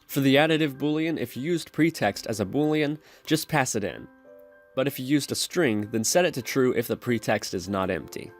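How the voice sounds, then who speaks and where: A man narrates a programming screencast as a tutorial host. His voice sits at a medium pitch, and he gives step-by-step instructions with music playing behind him. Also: expressive, moderate pace.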